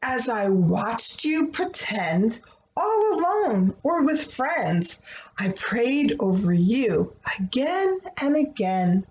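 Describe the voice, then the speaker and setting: Very slow, animated woman reading a storybook. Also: on the high side, lo-fi sound. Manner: expressive, deliberate phrasing for young children. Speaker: children's book reader. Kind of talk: read-aloud storytelling of a rhyming picture book. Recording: roomy echo, faint background noise.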